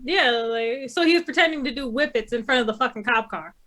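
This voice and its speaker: A woman with a bright, somewhat high voice chats informally with co-hosts. Her delivery is blunt and sweary, with a sharp edge to the tone. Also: a little fast. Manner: blunt, profane. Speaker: co-host of a movie discussion show. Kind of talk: casual chat.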